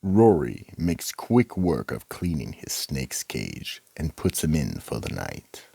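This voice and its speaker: An extremely deep, gravelly male narrator played by an actor hosts a mystery radio drama. He is slow and ominous, with theatrical swings in a sinister storyteller's delivery. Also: huge pitch swings, mellow, no room sound, heavily acted. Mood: ominous, measured. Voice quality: gravelly, husky. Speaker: narrator and horror anthology host.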